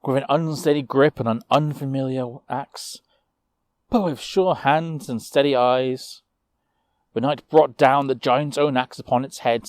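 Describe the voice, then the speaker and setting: A man narrating a fantasy tale as a storyteller, with a measured, theatrical delivery. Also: medium pitch, darkish tone.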